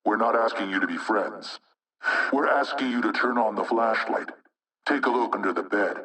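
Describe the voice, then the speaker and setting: An actor playing an anonymous male hacktivist spokesman in a video game propaganda broadcast, speaking in a measured, rhetorical, insinuating way with menace. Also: on the low side, bright, forceful tone, recorded from a distance, low-quality recording. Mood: menacing, defiant.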